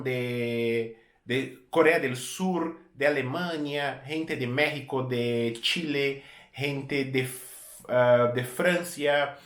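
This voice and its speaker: A man's voice: a little high, reverberant. A Brazilian man in his thirties, a native Portuguese speaker with a Brazilian accent, casually telling a story to camera as a travel vlogger and often correcting himself mid-sentence. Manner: relaxed, repeats and corrects words mid-sentence.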